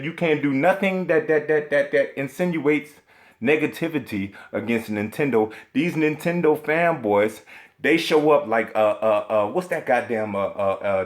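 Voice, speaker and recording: A man ranting profanely about video games, emphatic and indignant, stumbling over repeated fillers as he hunts for words. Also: medium pitch. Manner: emphatic and indignant, heavy swearing; rambling with stacked filler hesitations. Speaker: video game commentator. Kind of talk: profane ranting vlog commentary.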